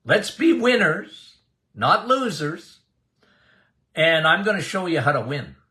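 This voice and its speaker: An older man, a doctor hosting a health podcast, speaking loudly and bluntly in a casual, emphatic monologue. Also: on the high side, sing-song, slow pace. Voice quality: loud voice.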